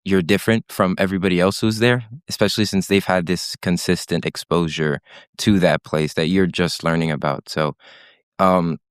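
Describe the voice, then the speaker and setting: Deep-voiced hesitant young man. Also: steady tone, normal speed, smooth, no echo, studio quality. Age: late teens. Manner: hesitant, many "uh" and "um" fillers. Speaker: podcast guest. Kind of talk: casual chat.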